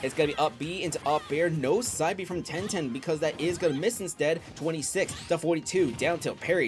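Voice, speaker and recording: Young man rattling off rapid game calls. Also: a little high. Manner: rapid play-by-play calls, loud excited peaks. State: voice straining, going hoarse. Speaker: commentator for an amiibo league. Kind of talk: fast play-by-play game commentary.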